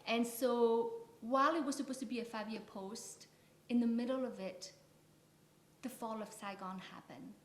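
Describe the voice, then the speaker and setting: A woman's voice: on the high side, slight background noise. Woman's voice in an echoey room. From Vietnam. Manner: measured, storytelling. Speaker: guest. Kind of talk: interview conversation. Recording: echoey room, faint ambience.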